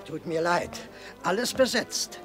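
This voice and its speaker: An actor playing an unhurried male village innkeeper in a radio drama, terse and matter-of-fact. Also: high-pitched, expressive, a bit bright, faint music behind. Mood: dry, obliging. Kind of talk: German radio drama acting.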